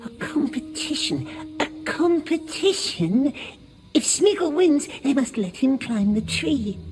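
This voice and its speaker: A woman's voice: high voice, big pitch swings, takes it slowly, over music, heavily acted. A woman playing a sly, childish, wheedling creature in a video game, blurting short phrases and speaking of itself in the third person. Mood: competitive, petulant. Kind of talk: video game character acting.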